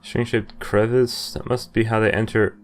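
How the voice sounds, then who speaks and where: A man's voice: on the low side, little pitch movement, normal speed. A young man giving casual playthrough commentary and reading game text aloud, rambling and hesitant. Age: young adult. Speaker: gaming video host. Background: game music.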